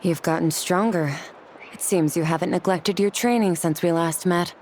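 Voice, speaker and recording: A woman voicing a cool, aloof young warrior woman in a video game, speaking in a measured, terse and formal way. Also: on the low side, darkish tone, slight background noise, close to an everyday voice. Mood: solemn.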